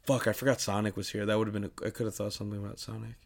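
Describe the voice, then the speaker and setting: A man co-hosting a podcast in casual, slangy banter, debating in an animated way. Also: on the low side, racing, creaky. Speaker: podcast co-host.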